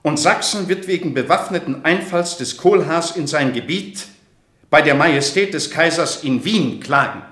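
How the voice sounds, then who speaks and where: Ein Schauspieler spielt in einem deutschen Hörspiel einen unnachgiebigen sächsischen Gesandten, der kühl, förmlich und beharrlich spricht. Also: male voice, fairly high pitch, moderate pace, pushed and bright. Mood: cool, threatening. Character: unyielding, legalistic male envoy of Saxony. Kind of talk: German radio play acting. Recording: roomy, echoing chamber sound.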